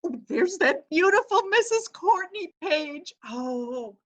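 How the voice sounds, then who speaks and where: High, lively woman playing a gossipy society matron, eager and chatty. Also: slow pace, full character voice. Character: chatty society matron Mrs. Payne Dexter. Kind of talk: readers theatre reading.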